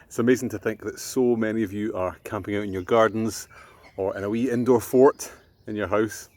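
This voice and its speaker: A Scottish man presenting and reading a children's bedtime story, expressive and sometimes hushed. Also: fairly low pitch, a little fast. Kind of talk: children's bedtime storytelling and friendly address to viewers.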